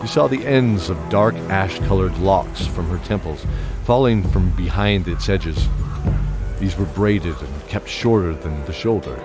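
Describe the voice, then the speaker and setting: A man's voice: sits low, unhurried. A man narrating a scripted fantasy audio drama with dramatic storytelling. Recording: prominent music bed behind voice.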